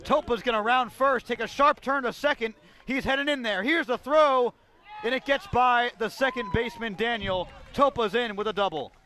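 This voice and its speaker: A man's voice: very high voice, normal speed, bright, forceful tone. A man giving live radio play-by-play commentary as a baseball announcer.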